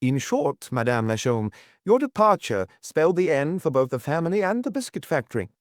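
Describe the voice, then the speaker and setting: A man's voice: fairly high pitch, big pitch swings, brisk, no room sound, clean studio sound, pitched above the performer's own voice. A man voicing a solemn examining magistrate in an audiobook, putting short, pointed, formal questions.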